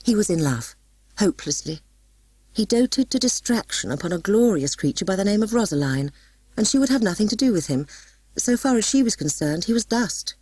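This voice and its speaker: Low, husky woman narrating a story. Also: no echo. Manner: dramatic, expressive storytelling. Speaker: audiobook narrator.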